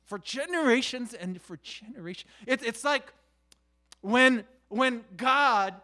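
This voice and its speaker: A man preaching in a conversational style, halting and repeating himself, with rhetorical questions and emphatic phrases that drop into hushed asides. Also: very high-pitched, expressive. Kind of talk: conversational sermon.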